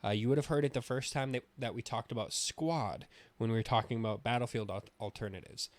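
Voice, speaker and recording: Male gaming podcast co-host, casual and opinionated, loose with swearing, average pitch, very dry sound. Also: steady tone, fast, no room sound.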